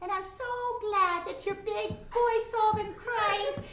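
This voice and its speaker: A woman's voice: extremely high pitch, fairly even intonation, takes it slowly, fairly bright tone, exaggerated voice. A woman improvising a fond, motherly gnome mum on a live theatre stage. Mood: tender. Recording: roomy live stage, distant mic.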